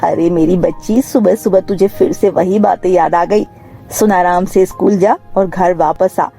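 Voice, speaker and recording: A woman's voice: mid-range voice, mellow, natural voice. A woman playing a poor, hardworking, reassuring mother in a cartoon moral story.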